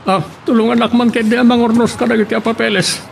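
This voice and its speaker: High, gravelly Ilocano male boss. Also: level tone, fast talker, a little hiss or hum. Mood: stern, instructing. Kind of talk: Ilocano radio drama acting.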